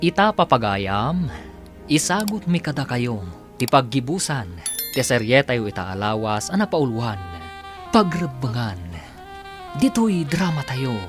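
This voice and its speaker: A radio drama announcer with a Filipino accent, reading credits and teasers in a theatrical, drawn-out delivery. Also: medium pitch, very animated. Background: music.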